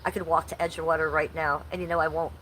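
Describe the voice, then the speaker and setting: A woman's voice: low-pitched, level tone, fast talker, a bit bright, a little hiss or hum, poor audio. A woman from Ohio chatting casually on a livestream, stuffy and nasal from allergies, swearing freely as she walks through her backyard. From Ohio, USA. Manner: chatty, profane, reacts to chat. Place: home and backyard, outdoors.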